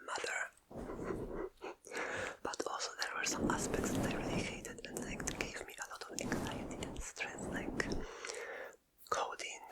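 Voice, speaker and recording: A woman's voice: sharp tone, low-quality recording. A woman in her twenties, a native Polish speaker, whispering in a chatty, self-mocking ASMR ramble. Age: 20s. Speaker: ASMR creator.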